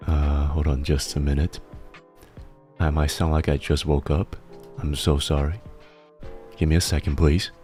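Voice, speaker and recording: Male streamer, very low pitch, rambling and self-deprecating, slightly slurred speech, soft music underneath. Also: level tone, unhurried. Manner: rambling, self-deprecating, laughs often, reads chat aloud. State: slightly slurred speech from a healing tongue injury. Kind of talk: casual chat stream.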